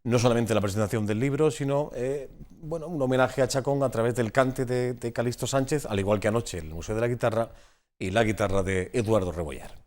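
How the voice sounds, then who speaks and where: A man's voice: medium pitch, fairly quick, a little mellow. A man with a Spanish accent from Spain, hosting a conversational TV interview and often repeating words as he forms his questions. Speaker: TV magazine host interviewing a guest.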